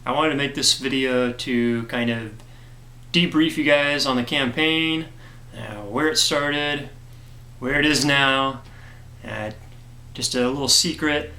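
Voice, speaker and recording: A man who created a comic gives an earnest, grateful update to his backers, hoarse from a cold and filling his talk with "uh". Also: medium pitch, slow, long pauses, pushed and bright, reverberant. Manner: earnest and grateful, many fillers. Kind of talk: casual direct-to-camera talk.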